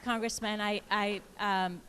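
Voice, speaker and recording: A woman from Iran moderating a conference panel, asking measured questions with frequent pauses. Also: medium pitch, fairly even intonation, a bit bright, a faint crowd, a little hiss or hum. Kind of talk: panel discussion. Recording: reverberant conference hall.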